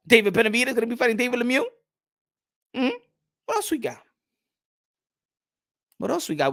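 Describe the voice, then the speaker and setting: Excitable boxing channel host, higher voice. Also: male voice. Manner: animated, repeats phrases, reads chat aloud. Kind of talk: casual livestream chat.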